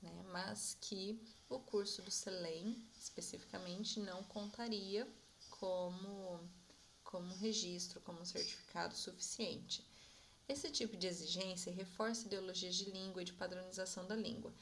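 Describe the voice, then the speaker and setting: A young woman giving an academic conference presentation, explaining in a measured way and often checking in with her listeners. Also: mid-range voice, steady tone, a bit bright, slight background noise.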